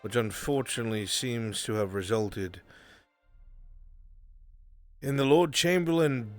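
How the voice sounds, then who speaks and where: A man playing a weary, authoritative fantasy lord and commander in improvised roleplay, speaking in a measured, formal way. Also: medium pitch, no echo, lightly acted. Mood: measured, disapproving. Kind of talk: live improvised roleplay acting. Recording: music behind the voice.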